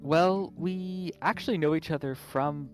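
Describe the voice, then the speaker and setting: A man's voice: a little high, a little slow, soft background music. A man chatting casually as a game developer being interviewed. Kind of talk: casual chat.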